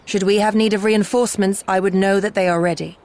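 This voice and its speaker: A woman's voice: medium pitch, fairly even intonation, quick pace, a little mellow, lightly acted. A woman playing a grave, resolute warrior retainer in a video game, with formal, archaic diction. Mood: grave, cautious. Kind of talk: video game cutscene acting.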